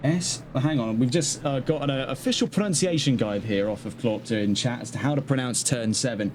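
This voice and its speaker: A man calling a live online sim race, brisk and chatty, breaking off now and then to talk to the chat. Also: mid-range voice, a little mellow, slight background noise. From not Dutch. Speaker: race commentator. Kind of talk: live sim-racing play-by-play commentary.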